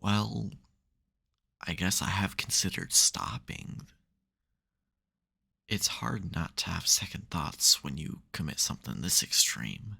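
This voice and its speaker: A man playing an exasperated but secretly caring supervillain in an intimate ASMR audio roleplay, wry and gravelly. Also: sits low, big pitch swings, slow pace, pauses often, sharp tone, exaggerated voice. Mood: uneasy, concerned.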